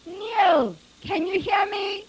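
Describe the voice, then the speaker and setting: A man's voice: very high-pitched, unhurried, heavy background noise, voice pushed up. A woman voicing a stern, anguished farmer father in an audiobook story, curt and commanding. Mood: desperate calling. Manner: curt, barking orders.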